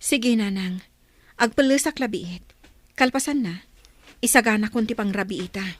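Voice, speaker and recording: An actress playing a secretive, brave young daughter in a radio drama, earnest and given to hushed asides. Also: female voice, fairly high pitch, normal speed, no echo, lightly acted. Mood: apologetic.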